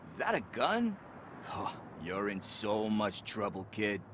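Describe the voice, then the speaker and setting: A streetwise policeman in a game scene, scolding as he goes. His voice is fairly low and swings widely in pitch, over noticeable vehicle noise. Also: big pitch swings, a little slow, a bit bright. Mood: scolding, alarmed. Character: scolding, streetwise adult cop.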